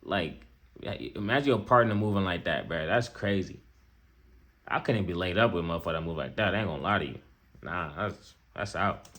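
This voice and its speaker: A man's voice: sits low, a little fast, bright, forceful tone. American man giving casual, slangy reactions. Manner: slangy, repetitive, conversational asides to viewer. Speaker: reaction video host. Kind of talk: casual off-the-cuff reaction commentary.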